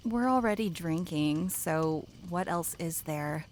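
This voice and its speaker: A woman's voice: lowish voice, takes it slowly, a little mellow, a little hiss or hum. A woman playing a blunt, sarcastic, unimpressed teenage girl counselor in an audio drama. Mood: mocking.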